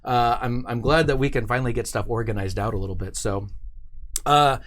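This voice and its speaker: A man's voice: medium pitch, brisk, fairly bright tone. A man hosting a casual live-streamed interview in a studio, talking in an informal, chatty way. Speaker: show host.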